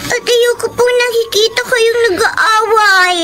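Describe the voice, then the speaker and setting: A woman's voice: very high voice, steady tone, unhurried, over music. A female performer playing a worried, loving little child with a plaintive manner in a Filipino radio drama. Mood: tearful, loving.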